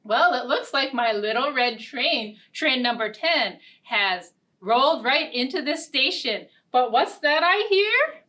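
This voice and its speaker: A young woman hosting a storytime for small children, speaking in simple, deliberate phrases. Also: high-pitched, animated, bright, forceful tone, a little hiss or hum. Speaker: children's library storytime host. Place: indoor storytime set. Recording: distant mic, ukulele music. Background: ukulele strumming.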